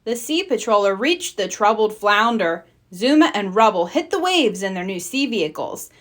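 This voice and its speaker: A woman narrating a children's storybook in an animated read-aloud style. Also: mid-range voice, moderate pace. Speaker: storybook reader.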